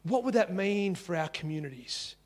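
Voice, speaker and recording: A man's voice: sits high, lively intonation, moderate pace, long reverb tail. A man preaching a sermon in earnest, repeating key phrases for emphasis. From Singapore. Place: church.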